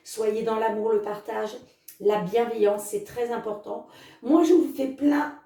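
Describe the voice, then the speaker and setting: A woman's voice: on the high side, mellow, far from the mic. Chatty woman reading cards in a roomy space. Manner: chatty, fills with "hein" and "les amis"; reads card messages slowly. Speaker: tarot card reader. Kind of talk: casual card reading to viewers.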